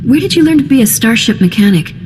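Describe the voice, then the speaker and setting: A woman's voice: a little high, big pitch swings, fast, background noise. An actress playing a sassy, wisecracking female smuggler captain in a video game, with a wry, cocky manner. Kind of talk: video game character acting.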